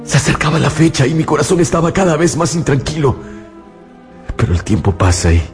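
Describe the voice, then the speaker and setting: A man's voice: on the high side, very animated, fairly quick. An actor playing an anguished, haunted man who recounts his ordeal in a theatrical, fearful radio drama narration. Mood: grave, dread. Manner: theatrical, fearful, repeats words for emphasis. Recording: studio radio drama with music bed.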